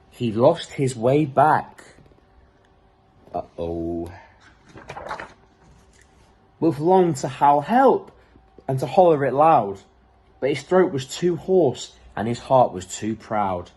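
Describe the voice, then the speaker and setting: A man reads a children's story with dramatic emphasis. His voice is mid-pitched and husky, and his intonation swings in a lively, animated way. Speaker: storybook reader. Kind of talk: expressive children's story reading.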